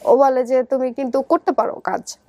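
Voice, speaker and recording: High-pitched young Bangladeshi woman. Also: little pitch movement, normal speed, warm tone, no echo. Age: young adult. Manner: conversational, often re-enacts quoted dialogue. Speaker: guest telling her life story. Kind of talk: personal storytelling in an interview.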